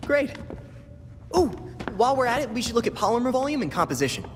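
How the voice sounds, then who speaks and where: A man's voice: very high voice, sing-song, fast talker. A man playing an earnest, nerdy teenage boy crimefighter in a video game, cautious, precise and inquisitive. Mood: eager. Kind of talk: naturalistic video game acting. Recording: reverberant room with music behind.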